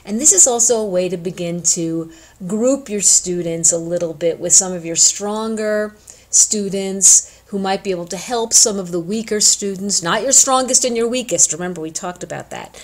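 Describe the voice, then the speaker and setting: A woman giving an informal instructional talk as a teacher, conversational and emphatic, stressing key words deliberately. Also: mid-range voice. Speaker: education instructor addressing student teachers.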